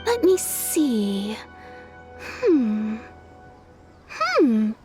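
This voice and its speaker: A woman's voice: fairly high pitch, huge pitch swings, very slow, heavily acted. A woman playing a polite, businesslike kimono shopkeeper in a video game, explaining things deferentially. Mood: appraising. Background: game music.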